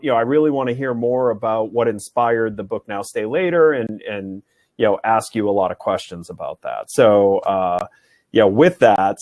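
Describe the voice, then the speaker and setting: A man co-hosting a live show who introduces a guest in a friendly, halting way, with many uhs, over a video call. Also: mid-range voice, darkish tone, no echo. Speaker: co-host. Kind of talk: casual introduction.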